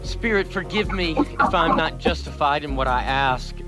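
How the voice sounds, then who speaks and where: A man playing a timid old man awed by ghosts in a radio play, halting and pleading. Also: fairly high pitch, lively intonation, natural voice. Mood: hesitant, uneasy. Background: music.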